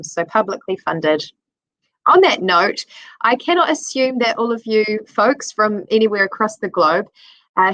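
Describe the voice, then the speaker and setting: A woman's voice: medium pitch, a bit bright, no echo, rough recording. New Zealand woman giving a presentation. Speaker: conference presenter, health service designer. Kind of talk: prepared conference presentation.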